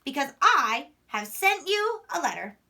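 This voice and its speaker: A woman reading the part of a kind, eager male frog in a children's storybook, with expressive, emphatic delivery. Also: sits high, unhurried, gravelly, full character voice. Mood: eager, warm. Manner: expressive, emphatic read-aloud.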